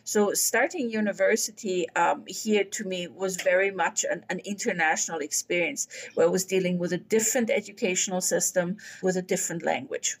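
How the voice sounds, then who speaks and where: A woman's voice: lowish voice, brisk, hardly any pauses. Woman in her fifties. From Bavaria, Germany. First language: German. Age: 50s. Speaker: guest speaker, academic dean. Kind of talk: reflective personal account in conversational talk.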